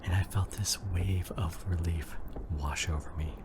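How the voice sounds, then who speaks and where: Male actor as devoted, worried husband, ASMR drama. Very deep, unhurried, breathy half-whisper, noisy audio. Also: steady tone. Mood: confessional, sorrowful. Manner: slow, intimate, breathy and often half-whispered. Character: devoted, worried husband comforting his injured wife.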